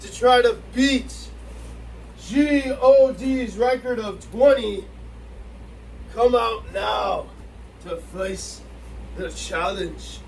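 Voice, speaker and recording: A man's voice: extremely high pitch, extremely slow, vocal fry, long pauses. A young man hosting and refereeing an amateur backyard wrestling challenge, laughing often and teasing his opponents. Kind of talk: playful amateur wrestling banter. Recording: distant mic with faint noise.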